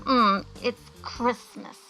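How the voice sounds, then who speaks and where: A woman's voice: a little high, steady tone, takes it slowly, pushed and bright, soft background music, rough recording. A woman playing a flirtatious, playful female Christmas spirit in a comic radio play, with a coquettish drawl. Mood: flirty.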